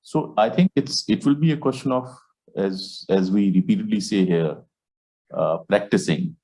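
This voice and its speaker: A man with a fairly low voice hosts an online support meeting over a video call. Punjabi is his first tongue, and his comes slowly and thoughtfully, full of pauses, fillers and restarts. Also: mellow, low-quality recording. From India. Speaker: support group host.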